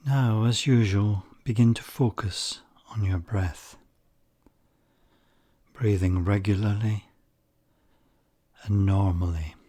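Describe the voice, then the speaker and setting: A man guiding a meditation, speaking softly and calmly, some phrases nearly whispered. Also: fairly low pitch. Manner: soft-spoken, calm and unhurried, with breathy near-whispered phrases. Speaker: meditation guide. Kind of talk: slow guided meditation.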